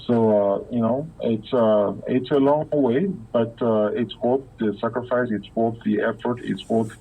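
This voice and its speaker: A man from Belgium, a former pro football player, talking as a guest in an interview, halting with many repeated words and fillers, over a phone line. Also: mid-range voice, steady tone, gravelly, husky. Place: Africa.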